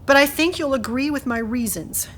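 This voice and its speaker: A woman's voice: high-pitched, normal speed, fairly bright tone, slight background noise. Blunt, sarcastic woman playing a defiant woman. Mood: guilty, resolute. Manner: dry, sarcastic, pointed. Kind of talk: audio drama acting.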